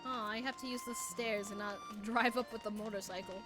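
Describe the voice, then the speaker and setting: A woman's voice: medium pitch, steady tone, fairly quick, over music. A woman giving casual, playful, exclamatory commentary as she streams a video game live.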